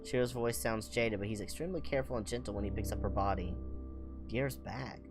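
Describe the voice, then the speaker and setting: A game streamer reading visual novel narration aloud with expressive, playful asides. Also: medium pitch, fairly quick, over music. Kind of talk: playthrough commentary and read-aloud narration.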